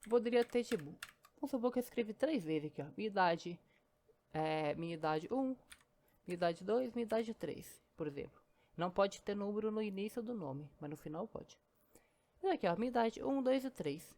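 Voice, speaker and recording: A woman walks through code at a quick clip, chatty and step by step. Her pitch sits in a middle range, and keyboard typing can be heard behind her. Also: expressive, darkish tone. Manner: chatty, explains step by step, keeps saying "ó" and "né". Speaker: programming teacher. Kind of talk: casual screen-recorded coding lesson.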